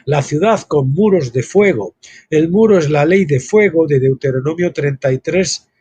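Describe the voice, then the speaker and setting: A man's voice: on the high side, normal speed, a little mellow, husky, no echo. A man reading a Bible study book aloud and breaking off into casual asides of his own, like a teacher. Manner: reads text aloud, then breaks off with informal asides.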